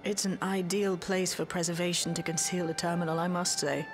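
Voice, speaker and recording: A woman's voice: on the low side, level tone, fairly quick, music in the background, close to an everyday voice. Woman acting a clever, matter-of-fact technical expert. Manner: matter-of-fact, articulate, self-assured. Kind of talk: dramatic video game voice acting.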